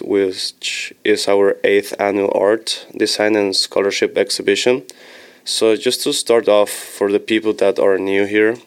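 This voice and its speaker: A young man from Switzerland hosting a student podcast, measured and reading from notes as he thanks each guest. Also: on the low side, smooth. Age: young adult.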